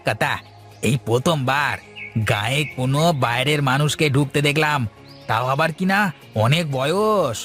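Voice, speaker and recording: A man's voice: medium pitch, normal speed, a bit bright, soft background music, natural voice. A man voicing an eager village henchman in a cartoon, emphatic and deferential like an informant. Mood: anxious, deferential. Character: anxious, eager village henchman reporting to his master. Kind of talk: Bengali moral-story cartoon dubbing.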